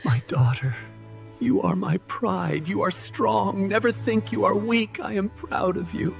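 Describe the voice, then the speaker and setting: Creaky grieving old king acted by a man. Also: high voice, unhurried, soft background music. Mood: tender, weak. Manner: pleading, sorrowful. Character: grieving old king, tender loving father.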